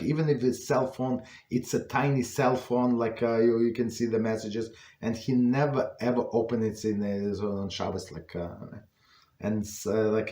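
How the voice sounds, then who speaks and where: A man giving an informal religious lecture as a rabbi, in a halting way that repeats words and checks in with rhetorical questions. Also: medium pitch, darkish tone. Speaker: rabbi teaching a class. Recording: echoey room.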